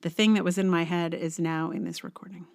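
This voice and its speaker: A woman's voice: on the low side, steady tone, fairly quick, vocal fry. A woman podcast host chatting casually in a rambling, conversational way.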